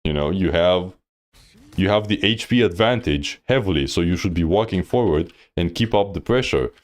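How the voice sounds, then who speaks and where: A man's voice: low voice, expressive, normal speed. A man coaching on a live stream, reviewing gameplay bluntly with lots of fillers. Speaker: game coach.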